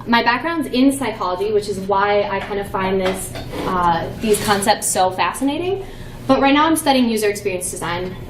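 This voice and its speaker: A woman's voice: mid-range voice, animated, moderate pace, background noise. A young woman giving a conference talk, playful and chatty with many fillers. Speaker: conference presenter. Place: conference room. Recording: echoey room from far off.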